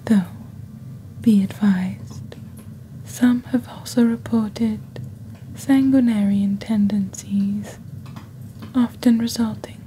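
Slow, soft, whispery woman as a witch presenter. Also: fairly high pitch, a little hiss or hum, poor audio. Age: young adult. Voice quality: soft, breathy, half-whispered. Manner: slow, deliberate, measured. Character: female witch presenting a mystical shopping channel, composed. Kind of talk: ASMR roleplay, scripted product presentation.

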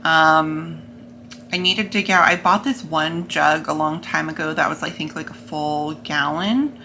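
A young American woman talks casually to camera in a bright, forward voice. Her pitch sits a little low. She is recorded indoors with faint noise behind her. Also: a little slow. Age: young adult. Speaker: vlogger. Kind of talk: casual vlog talk to camera.

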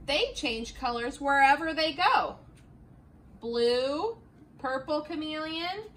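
Middle-aged teacher reading a picture book, high bright voice, slow and expressive, classroom. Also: female voice, slight background noise. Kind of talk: picture book read-aloud. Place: classroom, indoors. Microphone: camera a few feet away.

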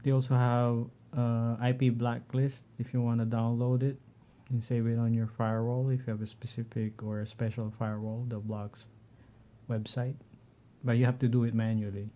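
A middle-aged man with a Filipino accent, talking through his home network setup in a halting way, often clearing his throat. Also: medium pitch, level tone, phone-quality sound. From Philippines. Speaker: tech vlogger. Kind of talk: casual screen-share walkthrough.

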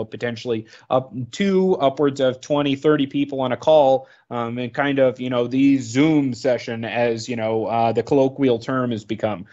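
A man with a medium-pitched voice talks through a training presentation. He is informal and explanatory, thinking aloud as he goes. The recording is a little dull and lo-fi. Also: darkish tone. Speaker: product trainer. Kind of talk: informal slide presentation narration.

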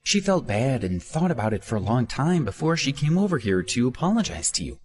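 A man voicing a young woman friend who pleads in a soft, persuasive tone. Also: mid-range voice, very animated, brisk, slightly rough, pitched above the performer's own voice. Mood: pleading.

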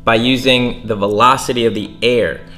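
A man's voice: medium pitch, steady tone, moderate pace, bright, forceful tone, faint music behind. A young man hosting a casual lesson, explaining how sailing works in plain words while gesturing, indoors by a window. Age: young adult. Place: indoors by a window near the water.

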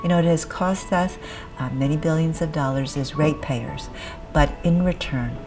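Middle-aged female interviewee. Low register, conversational documentary soundbites over clear music bed. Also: normal speed. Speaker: former head of an advocacy association.

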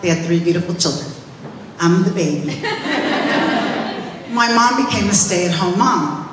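A woman who owns restaurants tells her family story to an audience on stage, speaking loudly into a handheld microphone. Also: lowish voice, a little slow, very noisy. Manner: loud, lively storyteller. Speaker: restaurant owner speaking at event. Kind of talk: personal story speech to audience. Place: large reverberant event hall on stage. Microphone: handheld microphone, far camera. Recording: echoey hall from far off.

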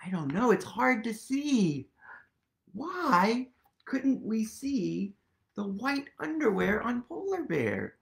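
A man in his thirties reads a picture book to children in a highly animated voice that swings up and down. He takes it very slowly, with playful wonder and a little creak, asking the listeners questions. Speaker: storytime reader. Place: small room at home, indoors.

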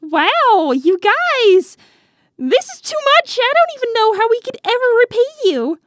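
A woman voicing a feisty, excitable young female hedgehog with a dramatic, sassy manner in a comic dub. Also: very high-pitched, measured pace, dry recording, studio quality, full character voice. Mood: gushing.

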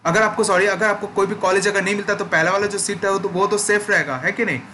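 Young male tutor, bright forceful tone, slightly high voice, walks through a process step by step. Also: steady tone, fast, a little hiss or hum. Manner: explanatory, repeats "ठीक है" check-ins. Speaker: education channel host. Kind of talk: screen-recorded tutorial walkthrough.

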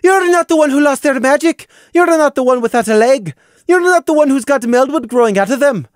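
A man voicing a bitter, hurt young mare who snaps and shouts in a high, shrill voice. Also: expressive, normal speed, no echo, studio quality. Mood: furious.

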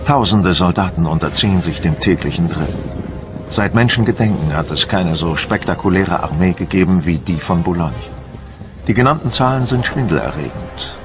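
A man delivering a scripted voice-over for a history documentary. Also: low voice. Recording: music behind the voice.

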